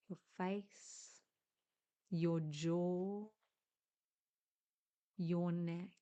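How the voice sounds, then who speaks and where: Very slow, dark-voiced woman guiding meditation. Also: medium pitch. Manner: gentle, hushed, deliberate phrasing with long pauses. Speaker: meditation guide. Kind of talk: guided meditation, slow and soothing.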